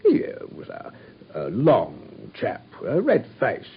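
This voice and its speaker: An actor playing a slow-witted working-class police constable with a London Cockney accent and ungrammatical, hesitant speech. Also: male voice, mid-range voice, huge pitch swings, husky, full character voice. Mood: chatty. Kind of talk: 1950s BBC radio drama acting. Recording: dull lo-fi old radio broadcast.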